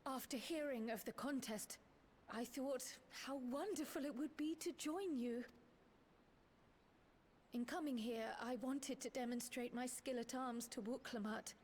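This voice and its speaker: Polite, highish-voiced young scholar heroine. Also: female voice, a little slow, darkish tone, a little hiss or hum. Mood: courteous. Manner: courteous, formal, articulate, earnest. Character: polite, determined young female scholar turned magical fighter. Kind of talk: video game cutscene acting.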